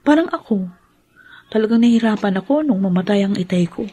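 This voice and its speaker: A woman's voice: medium pitch, lively intonation, brisk, dark tone, slightly rough. A woman with a Filipino accent playing a caring young wife who carries old grief, in a radio drama. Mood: sympathetic. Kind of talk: Philippine radio drama acting.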